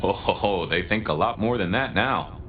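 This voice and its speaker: A low, lively voice for a wry old vampire from a video game, acted by a man. He is chatty, folksy and theatrical, his pitch swinging widely. The sound is muffled, with music underneath. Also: normal speed, close to an everyday voice. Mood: wry amusement. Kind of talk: video game dialogue acting.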